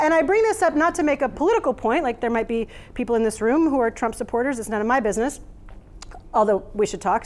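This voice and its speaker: American woman, thirties, high voice, animated live talk, laughs between points. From United States. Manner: animated, lively, laughs while making points. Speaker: keynote speaker. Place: workspace event room.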